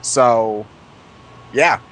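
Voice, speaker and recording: A man chatting casually as a podcast co-host, often halting and rephrasing as he goes. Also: mid-range voice, fairly bright tone, slight background noise, rough recording. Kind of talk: casual group chat.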